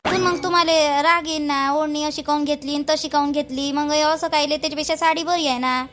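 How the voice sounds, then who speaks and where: Woman acting as young cartoon village bride, Vidarbha Marathi accent, very high voice, fast talker, dutiful and chatty. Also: steady tone, a bit bright. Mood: reluctant, protesting. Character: young newlywed daughter-in-law, dutiful, mildly aggrieved village wife.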